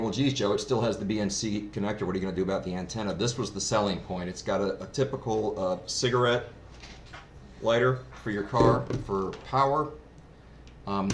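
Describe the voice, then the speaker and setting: A man giving a casual, unscripted gear review in a rambling, explanatory way, like a hobbyist who is new to it. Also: deep voice. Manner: rambling, explanatory, self-described novice. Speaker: product reviewer. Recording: roomy echo, clear background noise.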